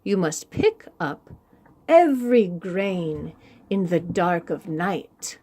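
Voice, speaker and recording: Woman playing a stern, measured village chief. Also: on the low side, animated, dark tone. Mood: grave. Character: stern village chief, commanding man setting hard tasks.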